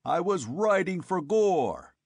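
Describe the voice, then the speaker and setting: A fierce barbarian warrior bellows commands and retorts, his voice lifted high and loud with force. A male reader acts him out in this storybook reading. The sound is close and dry. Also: expressive, measured pace, dry recording, full character voice. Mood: commanding. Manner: roaring, commanding.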